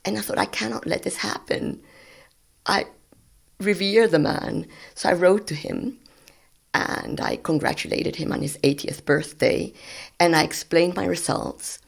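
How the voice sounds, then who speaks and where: A woman in her sixties whose first language is Spanish, a guest scientist telling stories of her work in a relaxed, animated way. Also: fairly low pitch, measured pace, vocal fry, husky. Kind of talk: conversational storytelling.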